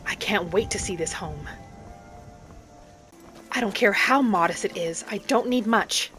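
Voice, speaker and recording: Soft, dreamy woman as a wood elf wanderer, music behind. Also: mid-range voice, lightly acted. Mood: hopeful. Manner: soft, unhurried, dreamy, earnest. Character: gentle, hopeful young wood elf woman adventurer. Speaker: roleplay series host. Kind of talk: in-character scripted narration, storytelling.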